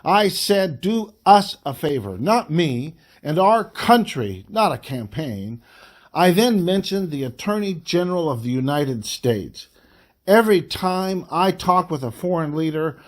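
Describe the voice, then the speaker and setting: A man reading a formal political letter aloud in an angry, indignant, emphatic voice, breaking phrases into short, deliberate pieces. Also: a little high.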